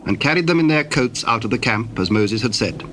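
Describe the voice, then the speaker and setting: A man narrating scripture from a script in a formal, solemn and deliberate manner. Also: medium pitch, lively intonation, a bit bright, a little hiss or hum.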